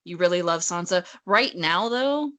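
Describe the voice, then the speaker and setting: A woman's voice: on the low side, animated, moderate pace, a bit bright. A woman co-hosting a casual discussion in an informal, conversational way, often saying "like". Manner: informal, peppers speech with "like". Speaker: podcast co-host. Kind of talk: casual chat.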